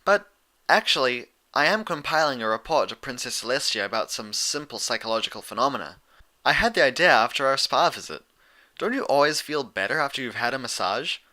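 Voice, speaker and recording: Man voicing an earnest bookish girl pony, bright. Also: medium pitch, a little fast. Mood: thoughtful. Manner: explanatory, excitable, slightly awkward.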